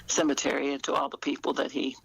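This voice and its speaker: Older female author, noticeable vocal fry, thoughtful conversational delivery with pauses. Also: rumbling low, little pitch movement, dry recording, low-quality recording. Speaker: author being interviewed. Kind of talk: conversational interview.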